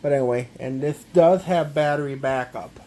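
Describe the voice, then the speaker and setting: A man gives a casual, halting, step-by-step product walkthrough, often repeating himself. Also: fairly high pitch, dark tone. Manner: halting, repetitive, step-by-step explaining. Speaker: amateur gadget demonstrator.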